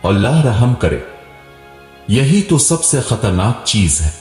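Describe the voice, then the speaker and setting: A man's voice: mid-range voice, big pitch swings, takes it slowly, darkish tone. A man voicing a worried, wry village mother with an anxious way of talking, in a storybook tale. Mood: wry muttering. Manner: anxious, muttering. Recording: roomy echo with long reverb, music behind.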